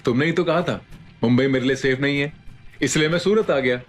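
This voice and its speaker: A man's voice: mid-range voice, brisk, faint music behind, lightly acted. An actor playing a loyal, self-made businessman friend in an audio drama. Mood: grateful. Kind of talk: Hindi audio drama acting.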